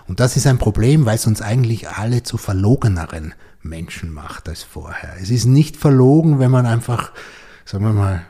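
A man's voice: on the low side, lively intonation, moderate pace, mellow, slightly rough. A man hosting a podcast interview, reflective and thinking aloud as he talks. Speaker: podcast host. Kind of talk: conversational interview.

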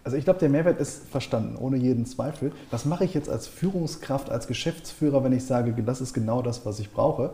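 A man's voice: mid-range voice, brisk, few pauses, warm tone. A man hosting an interview who sums up his guest's points and asks follow-up questions. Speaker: interview host. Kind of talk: conversational interview.

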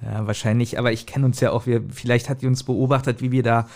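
A man's voice: mid-range voice, flat delivery, fast, darkish tone. A man chatting casually as a podcast co-host, teasing and chipping in. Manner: teasing, interjecting. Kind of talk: casual chat.

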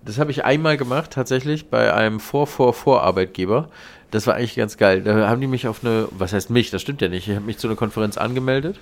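A man with a German accent and a blocked nose, chatting and telling anecdotes casually as a podcast co-host, colloquial and quick to swear. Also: mid-range voice. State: blocked nose from an oncoming cold.

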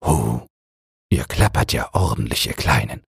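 An old storybook raven acted by a man, husky and very rough in tone. He lectures smaller birds with patronising condescension, close and dry on the microphone. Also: extremely low pitch, expressive, measured pace, dry recording, pitched below the performer's own voice, heavily acted. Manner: patronising, expository. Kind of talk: character voice in a fairy tale audiobook.

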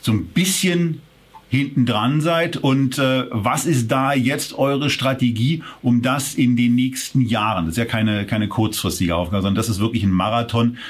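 Husky-voiced male host asking long questions. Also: mid-range voice, normal speed. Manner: long, lively framing questions. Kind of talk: interview questions.

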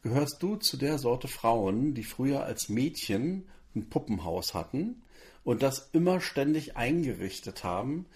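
A man's voice: mid-range voice, very animated, a little slow, a little mellow. A man co-hosting a casual podcast, speaking in long flowing sentences with dry humor. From Germany. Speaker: podcast co-host. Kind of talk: casual chat.

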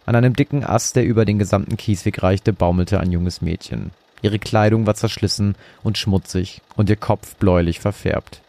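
A man's voice: lowish voice, normal speed, creaky, hardly any pauses, warm tone. A man narrating a scripted suspense story as a storyteller.

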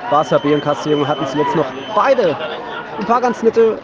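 A high-pitched man gives informal, off-the-cuff football commentary from the stands of an outdoor stadium. A very loud crowd surrounds him, and the handheld recording is muffled. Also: expressive, normal speed. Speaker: podcast host reporting from a stadium. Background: loud football fans and crowd.

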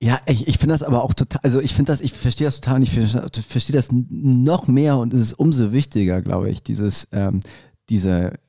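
A man's voice: medium pitch, brisk, dark tone, no echo, studio quality. A young man co-hosting a podcast, talking casually in long rambling turns with stammered restarts and cheeky jokes. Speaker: podcast co-host. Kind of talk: casual chat.

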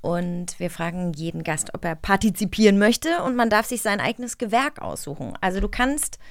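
A woman's voice: mid-range voice, expressive, moderate pace, creaky, no room sound, clean studio sound. A woman co-hosting a podcast in casual, playful banter. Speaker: podcast co-host. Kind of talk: casual, bantering studio chat.

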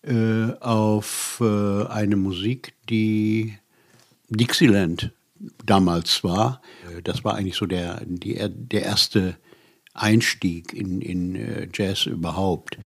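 An elderly man in his seventies speaks in a low, gravelly voice with a husky edge. He reminisces informally as an interview guest, haltingly, often restarting as he searches for the next word. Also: steady tone. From western Germany. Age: 70s. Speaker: interview guest, musician and writer. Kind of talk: casual personal recollection.

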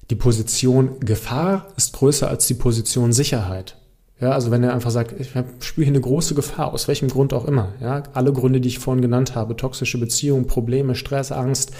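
A man's voice: mid-range voice, dark tone. A man working as a host and coach, answering audience questions in an informal, explanatory way, speaking directly to the listener with frequent little check-in tags. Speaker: host, pain and movement coach.

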